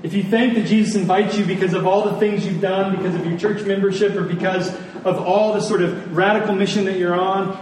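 A man with a fairly high voice preaches earnestly in a very echoey room. He speaks deliberately and repeats phrases for emphasis. The microphone sounds a little distant. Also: a little mellow. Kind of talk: sermon to a congregation. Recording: very echoey room, distant mic, faint noise.

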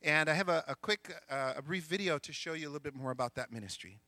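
A man's voice: fairly high pitch, lively intonation, fast talker, sharp tone, dry recording. A middle-aged man, a missionary school counselor, giving an earnest, joking church missions talk. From grew up in Sri Lanka, India and Egypt. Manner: joking, earnest speaker reading scripture aloud.

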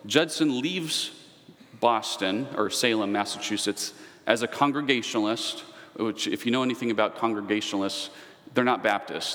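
A man's voice: medium pitch, fairly bright tone. A man giving a conversational history lecture. He wanders into asides and personal anecdotes as he teaches. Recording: long reverb, echoey hall.

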